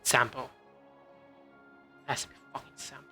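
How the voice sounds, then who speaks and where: A man's voice: moderate pace, pushed and bright, soft background music. A man streaming, giving casual, offhand commentary as he plays a game.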